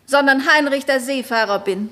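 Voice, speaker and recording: A woman's voice: high voice, normal speed, fairly bright tone. An actress playing an anxious noblewoman in a 1960s radio drama, speaking in an intense, pleading, confiding way. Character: anxious noblewoman who believes she is someone else. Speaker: Florentine.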